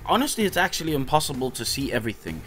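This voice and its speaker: A man in his thirties narrating a vlog in a relaxed, rambling, easygoing way. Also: medium pitch, rapid-fire. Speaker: vlogger and hobby shop owner. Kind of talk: casual vlog voice-over. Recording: voice-over with music underneath. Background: background music.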